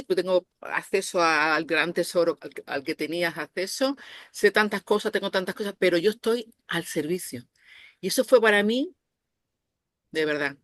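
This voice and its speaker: A woman's voice: fairly low pitch, no room sound, clean studio sound. A woman with an accent from Spain, chatting casually as an interviewer. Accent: Spanish from Spain.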